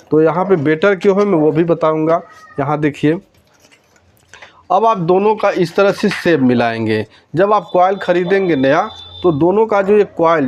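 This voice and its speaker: Guy with a highish voice walking viewers thru a hands-on repair demo, casual, bit of noise on the recording. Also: darkish tone. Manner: conversational, step-by-step, often addressing viewers directly. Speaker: electronics repair tutorial presenter. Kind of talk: hands-on demonstration, casual explaining.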